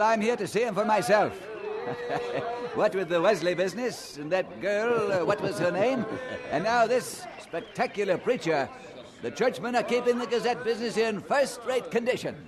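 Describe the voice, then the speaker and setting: A high, very animated voice for a colonial newspaper reporter in an audio drama. He is eager and prying, with a sardonic edge. A crowd bustles behind him. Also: normal speed. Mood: amused, sardonic.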